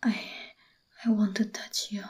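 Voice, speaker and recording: A woman voicing a desperate, obsessive young boyfriend in a whispered ASMR roleplay, pleading and stammering in a soft, breathy whisper. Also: mid-range voice, flat delivery, measured pace, close to an everyday voice.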